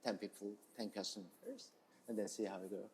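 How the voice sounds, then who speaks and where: Man, Korean accent, fairly low pitch, hesitant phrasing, casual interview storytelling. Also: steady tone, darkish tone, rough recording. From South Korea. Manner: hesitant, halting, non-native English phrasing. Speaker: chef, interview guest.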